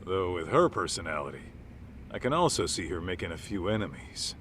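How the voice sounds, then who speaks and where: A gruff middle-aged detective for a video game drama. His voice sits low with a bright, tense edge and moves around animatedly. He talks in blunt, informal phrases, like someone with little patience left, over faint background ambience. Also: very animated, a little fast, a little hiss or hum. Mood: probing, skeptical. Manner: informal, blunt, clipped tough-guy phrasing. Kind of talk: video game cutscene dub acting.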